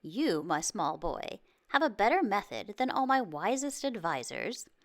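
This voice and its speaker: A woman's voice: mid-range voice, sing-song, unhurried. A woman voicing an amused, commanding prime minister and proud father in a storybook, with a stately manner. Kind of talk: storybook read-aloud.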